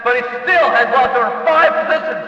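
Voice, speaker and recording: A man playing an excitable race announcer in a video game, calling the race from the booth in a folksy, emphatic, dramatic way. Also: extremely high pitch, quick pace. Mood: measured, critical. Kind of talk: excited motorsport race commentary. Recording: echoey track PA, slightly dull, distant.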